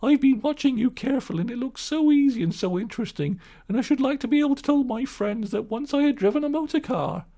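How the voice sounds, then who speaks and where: A man's voice: very high-pitched, lively intonation, fast talker, vocal fry, hardly any pauses, warm tone, voice pushed up, heavily acted. A man voicing a vain, reckless male toad in a storybook reading, with a pompous, boastful manner. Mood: wheedling. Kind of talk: storybook audiobook acting.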